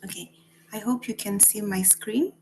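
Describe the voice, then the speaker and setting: A woman's voice: medium pitch, normal speed, creaky, a little mellow, a little hiss or hum, rough recording. A woman from Rwanda and Kenya giving an earnest presentation as a peace fellow over a video call, with frequent fillers and restarts. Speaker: guest speaker, peace fellow.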